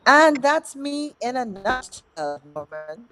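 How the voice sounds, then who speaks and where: A woman's voice: sits high, very animated, takes it slowly, sharp tone, soft background music. A woman in her fifties, a politician guest talking in a friendly, conversational way with frequent fillers. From Guyana. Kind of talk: studio interview.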